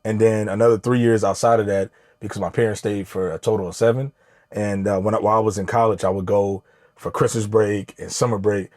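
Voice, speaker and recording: A man guesting on a podcast, relaxed and slangy, often backing up the host with quick yeps. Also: on the low side. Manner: relaxed, slangy, frequent affirmations. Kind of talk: casual podcast conversation.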